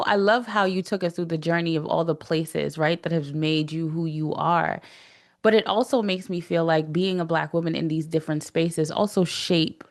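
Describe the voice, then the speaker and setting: A woman hosts a podcast in a lowish voice, relaxed and chatty. She leads with long, looping questions and checks in with "right?". She talks with her guest over a remote call. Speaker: podcast host. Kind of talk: casual interview chat. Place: remote call from New York.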